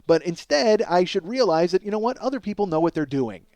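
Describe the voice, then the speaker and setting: Higher-voiced man, profane comic rambler. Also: big pitch swings, fairly quick, darkish tone, dry recording. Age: 40s. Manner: profane, self-deprecating, emphatic. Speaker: comedy podcast host. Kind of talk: rambling comic monologue, casual storytelling.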